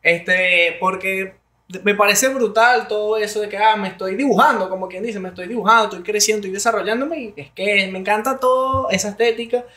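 A young Venezuelan man with a bright, forceful tone and a high-sitting voice, chatting animatedly as a podcast co-host. He speaks close to a studio mic in a small curtained room. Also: brisk. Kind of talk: casual podcast chat. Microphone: studio mic on boom arm.

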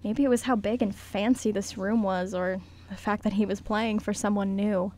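A woman's voice: mid-range voice, fairly even intonation, normal speed, a little mellow. A woman reading scripted narration for a radio play as its narrator.

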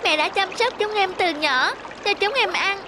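A woman voicing a small, innocent young salmon child, clingy and pleading, in an animated fairy tale. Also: extremely high pitch, moderate pace, background noise. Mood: insistent.

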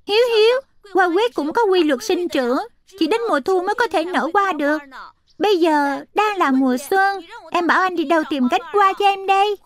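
A woman voicing a small magical lion spirit, a bossy but helpful big brother in a dubbed cartoon, brisk and instructive. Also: very high-pitched, animated. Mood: admonishing.

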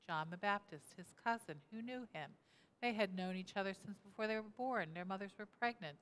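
Woman pastor in her sixties, smooth voice, interactive sermon at a lectern in a large echoing sanctuary. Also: mid-range voice, normal speed, fairly bright tone. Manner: asks the congregation questions and repeats their answers. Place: church sanctuary, indoors, at a lectern. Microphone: lectern mic, camera at mid distance. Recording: reverberant church hall.

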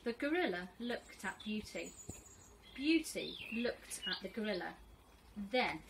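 A middle-aged woman reads a children's story aloud like a teacher, expressive and deliberate, outdoors. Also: mid-range voice, creaky. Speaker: first school teacher reading to pupils. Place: small fenced garden, outdoors. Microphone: camera a few metres away. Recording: outdoor camera audio, faint birdsong and noise.

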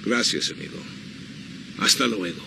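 An actor playing a courteous older Latin American colonel in a video game, formal and gracious. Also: male voice, fairly low pitch, normal speed, creaky. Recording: loud engine and outdoor noise behind.

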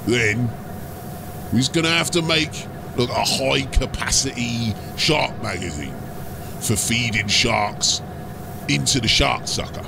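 A man voicing a scheming, brutish ork mechanic in a fantasy audiobook, with a gravelly voice and broken grammar. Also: medium pitch, background noise. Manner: slow, broken grammar, mulling aloud.

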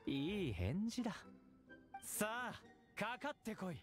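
A man playing a commanding young military officer in an anime game, with measured, theatrical speechmaking. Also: high-pitched, sing-song, exaggerated voice. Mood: challenging. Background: game music.

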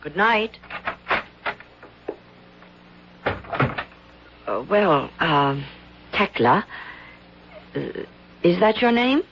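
A woman's voice: fairly low pitch, sing-song, exaggerated voice. An actress playing a forthright young woman, an out-of-work actress, in a 1970s radio drama. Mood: uneasy. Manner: forthright, quick to question. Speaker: actress hired to play a queen. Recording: muffled old radio broadcast with faint hiss.

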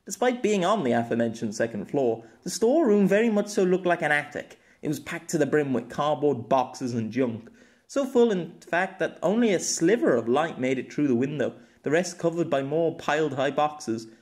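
Animated male audiobook narrator. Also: a little high, normal speed. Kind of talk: scripted storybook narration, expressive.

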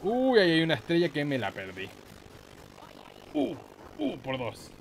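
A man's voice: fairly high pitch, wildly swooping pitch, measured pace, gravelly, a little hiss or hum, low-quality recording. A man giving casual, slangy, mock-boastful gaming commentary with some swearing. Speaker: gameplay host.

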